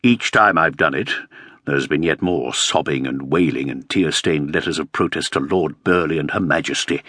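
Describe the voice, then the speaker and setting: A man's voice: very deep voice, moderate pace, pushed and bright, slightly rough, no echo, clean studio sound, voice pushed down, exaggerated voice. A man voicing a put-upon, aging Elizabethan earl who speaks in a plaintive, complaining way. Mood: aggrieved.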